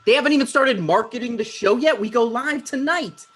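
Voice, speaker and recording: A man's voice: very high voice, fairly quick, fairly bright tone, husky, pitched above the performer's own voice, exaggerated voice. A man playing an anxious, self-important talk show host in his thirties in a comedy table read, petulant and defensive. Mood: petulant, dismissive. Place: video call.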